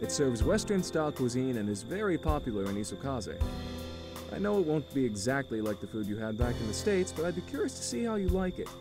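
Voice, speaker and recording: A man's voice: mid-range voice, big pitch swings, brisk, voice pushed down, close to an everyday voice. A man voicing a friendly middle-aged restaurant owner in a game reading, easygoing and encouraging. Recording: game music playing clearly behind.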